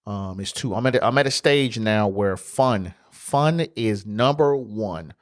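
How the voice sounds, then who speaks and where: A man, hosting a casual solo sports podcast in his home studio, stuffy and congested, talking in a relaxed, slangy way. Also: fairly low pitch, a little slow. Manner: relaxed, conversational, loose slang. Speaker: podcast host.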